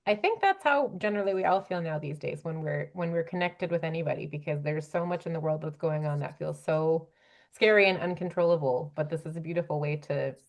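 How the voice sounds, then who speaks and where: Female panel host, lowish voice, conversational and supportive delivery. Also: expressive, a little fast, gravelly. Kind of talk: hosting a conversational panel discussion.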